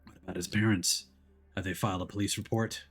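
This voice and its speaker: Man acting a low, world-weary detective. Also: steady tone, fast talker, fairly bright tone. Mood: probing. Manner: dry, terse, understated. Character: world-weary, gruff, protective male detective. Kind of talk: visual novel character voicing.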